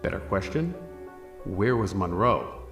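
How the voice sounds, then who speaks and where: Lowish-voiced investigator in an echoey space. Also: male voice, animated, unhurried. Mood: probing. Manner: matter-of-fact, probing. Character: inquisitive male insurance claims investigator, businesslike. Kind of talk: pulp radio drama acting. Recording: echoing room, faint music behind.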